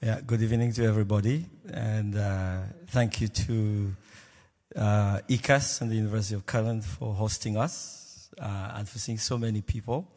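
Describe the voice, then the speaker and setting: A man on a panel introducing himself earnestly, with many fillers and pauses. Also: fairly low pitch, steady tone, a little mellow. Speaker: panelist, institute head. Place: large lecture hall stage. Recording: reverberant large hall.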